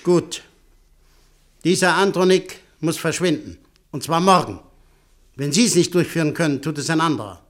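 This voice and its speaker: Dude doing cold bossy newspaper boss, kinda high voice, short clipped lines. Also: male voice, normal speed, pauses often, close to an everyday voice. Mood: commanding. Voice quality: curt, commanding. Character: cold ruthless male newspaper owner, commanding. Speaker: businessman Butschan. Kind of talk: 1950s-60s radio play acting.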